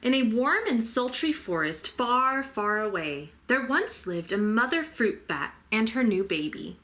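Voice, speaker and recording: A woman teacher narrating a children's story in a bright, clear-cut storytelling style. Her pitch swings expressively, and she takes her time, dramatizing each phrase. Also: big pitch swings, measured pace. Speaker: teacher reading aloud.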